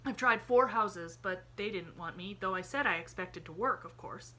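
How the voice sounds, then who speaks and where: A woman reading an audiobook, voicing a proud, blunt ragged orphan boy who talks in rough rural dialect with dropped g's. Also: mid-range voice, moderate pace, bright, forceful tone. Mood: earnest.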